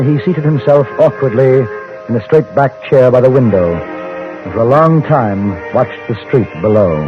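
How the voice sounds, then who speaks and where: A man playing a composed gentleman newspaper correspondent, narrating an old-time radio western in measured, formal language. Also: mid-range voice, close to an everyday voice. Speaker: lead actor and narrator. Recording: dull vintage radio recording.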